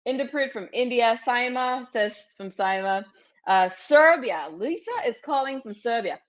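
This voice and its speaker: A woman's voice: fairly high pitch, animated, unhurried. Upbeat woman coaching a webinar crowd. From Cameroon. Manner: upbeat, direct, repeats cues like "okay?" to the audience. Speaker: physician hosting a webinar for international medical graduates. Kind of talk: energetic live webinar coaching. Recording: slightly dull lo-fi webinar audio.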